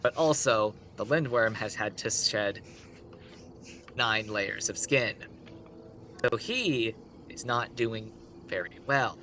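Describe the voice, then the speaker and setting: A man's voice: lowish voice, lively intonation, slow, long pauses, pushed and bright, slight background noise. A man telling a story casually on a live stream, rambling with conversational asides.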